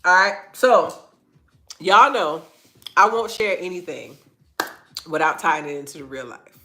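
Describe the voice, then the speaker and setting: A woman's voice: fairly low pitch, unhurried, pushed and bright. A woman hosting an inspirational faith-based livestream, earnest and repeating phrases for emphasis, her nose stuffy and congested. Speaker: host of a live online talk.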